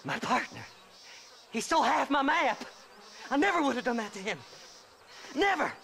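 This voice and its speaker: A high, gravelly, cackling game-cutscene grave robber, his pitch leaping wildly as he rambles. He is half-insane and unwashed, with a drawl. The tone stays bright and sharp. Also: sing-song, normal speed, slight background noise, exaggerated voice. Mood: unhinged. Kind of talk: video game cutscene acting.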